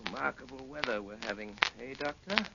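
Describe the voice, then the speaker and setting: An actor playing an urbane, unruffled, commanding gentleman detective in a 1930s radio drama. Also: medium pitch, expressive, a little fast, gravelly. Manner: urbane, coolly ironic. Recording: very noisy, dull 1930s radio recording.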